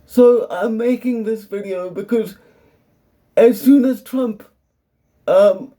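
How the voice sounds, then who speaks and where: A young man speaks with a South African English accent in a personal video monologue. His voice sits high yet sounds dark and mellow. He talks slowly and earnestly, laying out his points with care. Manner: measured and earnest, carefully explaining. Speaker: vlogger.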